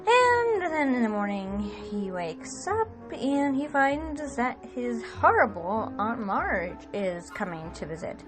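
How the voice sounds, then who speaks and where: A woman's voice: on the high side, sing-song, slow pace, hardly any pauses. A woman hosting, giving an introduction and recap for an audio production. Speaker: host and co-director introducing the production. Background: music.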